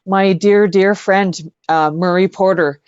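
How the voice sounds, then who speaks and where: A woman's voice: mid-range voice, steady tone, takes it slowly, a little mellow, clear, resonant tone, no echo, highs cut off. A woman chatting casually as an interview guest, effusive and repeating words for emphasis. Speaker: interview guest, singer-songwriter and actress.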